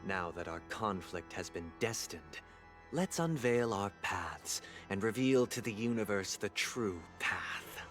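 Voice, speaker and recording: An actor playing a smug, grandiose video game villain who speaks in formal, theatrical oratory. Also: male voice, on the low side, lively intonation, unhurried, fairly bright tone. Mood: solemn, composed. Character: smug, grandiose male villain preaching order and paradise. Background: background game music.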